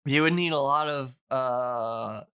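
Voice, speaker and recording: A man talking casually and enthusiastically as a podcast guest, explaining things in a rambling, halting way. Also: medium pitch, sharp tone, smooth, dry recording, clean studio sound. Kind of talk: casual, enthusiastic info-dumping podcast chat.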